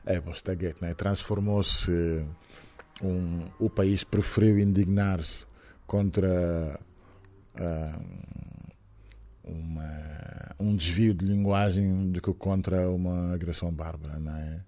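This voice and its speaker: A middle-aged man from Senegal speaks with a French-tinged Senegalese accent. His voice sits fairly low. He is being interviewed and talks in a measured, reflective way, pausing and hesitating often. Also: fairly even intonation, dark tone. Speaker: guest. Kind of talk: interview conversation.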